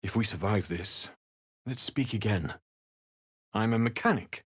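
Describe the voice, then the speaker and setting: A man's voice: lowish voice, measured pace, husky, dry recording, clean studio sound. An actor playing an earnest, kindly young male blacksmith refugee in a fantasy video game, speaking sincerely and politely with plenty of expression. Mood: curious, eager. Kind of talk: scripted fantasy game dialogue.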